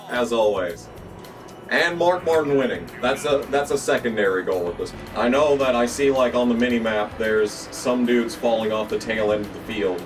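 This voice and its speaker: A young man, hoarse from losing his voice, commentating his racing game run with frequent swearing and excited outbursts. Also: medium pitch, far from the mic. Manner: excitable, swears often, jumps into yelps when the race turns. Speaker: gaming channel host. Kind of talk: gameplay commentary.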